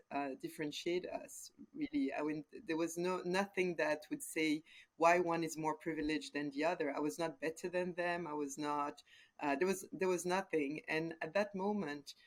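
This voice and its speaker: A woman in her forties from West Africa, a guest in an interview, speaking thoughtfully with frequent pauses and fillers. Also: sits low. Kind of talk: casual conversational interview.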